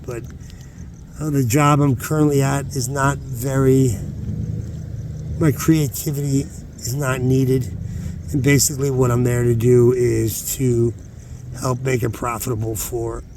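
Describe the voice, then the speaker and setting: A middle-aged man chatting informally to viewers in a rambling vlog, halting as he thinks aloud and repeating words for emphasis. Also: medium pitch, steady tone, darkish tone, a little hiss or hum. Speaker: vlogger, hobby rockhound.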